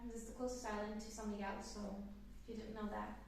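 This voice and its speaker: A woman's voice: mid-range voice, little pitch movement, quick pace, heavy reverb, recorded from a distance, a little hiss or hum. A woman in her twenties, from Canada, hosting a casual sit-down Q&A chat, upbeat and rambling with fillers. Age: 20s. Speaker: channel host.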